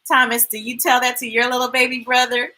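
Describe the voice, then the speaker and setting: A woman's voice: a little high, little pitch movement, brisk, pushed and bright. A woman reading a children's storybook aloud expressively, dropping into chatty asides to her listeners. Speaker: storytime host reading picture books aloud.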